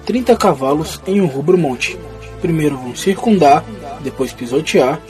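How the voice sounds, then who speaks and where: A man playing a wary little hobbit in an audio drama, measured when reciting and hesitant in asides. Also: fairly high pitch, lively intonation, darkish tone, close to an everyday voice. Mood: careful. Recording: music behind the voice.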